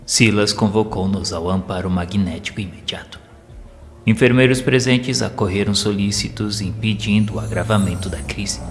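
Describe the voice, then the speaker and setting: Male audio drama narrator: formal, solemn storytelling, fairly low voice in hall-like reverb. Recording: long hall reverb, faint music. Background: faint music.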